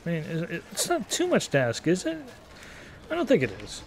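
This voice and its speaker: A man in his thirties with a slightly higher than average voice talks casually over gameplay. He rambles in an easygoing, take-it-or-leave-it way. The home recording carries faint background noise. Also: wildly swooping pitch, normal speed. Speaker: gaming YouTuber.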